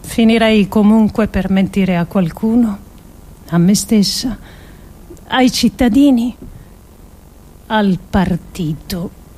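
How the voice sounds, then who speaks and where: An actress playing an earnest, resolute woman politician who delivers a solemn theatrical speech with measured, emphatic phrasing. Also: medium pitch, warm tone. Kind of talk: theatrical monologue, solemn oratory.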